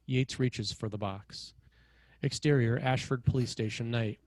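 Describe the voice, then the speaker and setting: A man's voice: mid-range voice, steady tone, unhurried, a little mellow, no room sound. A man reading scene headings and stage directions as the narrator of a screenplay table read.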